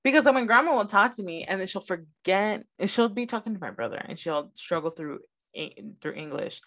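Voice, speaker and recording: A woman's voice: medium pitch, animated, a little fast, a bit bright. A young woman whose first language is Spanish, co-hosting a podcast in casual chat and telling stories animatedly. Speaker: podcast co-host.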